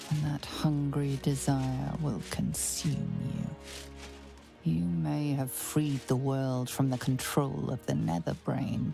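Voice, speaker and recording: A woman playing a grave, ominous fantasy game narrator who tells of fate in a creaky, portentous voice. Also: deep voice, heavily acted. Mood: menacing, solemn. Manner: slow, portentous, second-person storytelling. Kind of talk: scripted dramatic game narration. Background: music.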